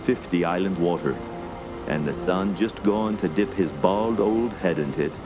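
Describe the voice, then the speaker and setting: An actor playing a hearty, proud French-Canadian backwoods guide in a radio play, with folksy, colloquial speech. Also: male voice, low voice, moderate pace, over music, natural voice. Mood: musing. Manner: colloquial, folksy, ends remarks with "eh". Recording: clear background noise under voice.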